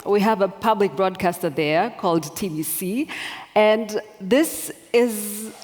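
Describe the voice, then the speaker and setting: A woman from Tanzania moderating a conference panel, asking brisk, clear questions in front of a live audience. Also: mid-range voice, darkish tone. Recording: long reverb of a conference hall.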